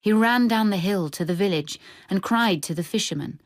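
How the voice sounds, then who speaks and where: A woman reading literary fiction for radio in a measured, descriptive storytelling manner. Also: mid-range voice, no echo, clean studio sound. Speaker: short story reader. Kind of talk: scripted literary narration for radio drama.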